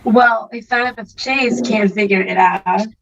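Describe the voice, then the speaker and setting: A woman's voice: mid-range voice, a little slow, creaky, dull audio, a crowd in the background. A woman playing a cool, wry teenage bad-boy camp counselor in an online table read of a stage musical. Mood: casual, teasing.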